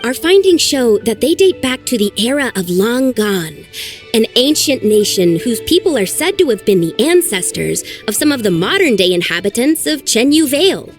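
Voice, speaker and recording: A woman's voice: fairly high pitch, big pitch swings, normal speed, soft background music. A woman voicing an earnest young woman archaeologist who talks anxiously and sighs over her troubles. It is game dialogue. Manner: earnest and sighing. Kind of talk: game voice acting.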